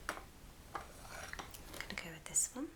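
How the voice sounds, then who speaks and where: A woman whispering a gentle, step-by-step ASMR craft tutorial in a soft, breathy voice. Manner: unhurried, gentle step-by-step instruction. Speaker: ASMR host demonstrating a craft. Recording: clear background hiss and clicks.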